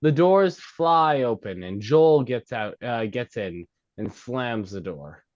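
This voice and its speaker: A man's voice: medium pitch, lively intonation, slow pace. A man narrating, reading out screenplay stage directions at a table read. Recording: dull, lo-fi sound.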